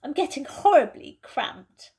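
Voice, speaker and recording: A woman's voice: high voice, big pitch swings, a little slow, gravelly, a little mellow, pitched above the performer's own voice, exaggerated voice. A woman voicing a sensible schoolgirl, an older sister, with emphatic delivery in a storybook reading. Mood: practical, complaining.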